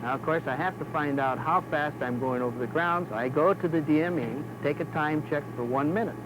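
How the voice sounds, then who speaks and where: A man's voice: a little high, brisk. A man with an American accent, a pilot explaining a calculation step by step in a conversational way on noisy 1970s film sound. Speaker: airline pilot.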